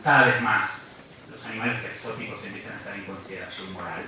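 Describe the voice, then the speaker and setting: A man's voice: on the low side, fast, far from the mic, background noise. A man from Argentina giving a public lecture, speaking earnestly and reflectively, often restarting his phrases. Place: large university lecture hall. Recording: very echoey hall, voice off-mic.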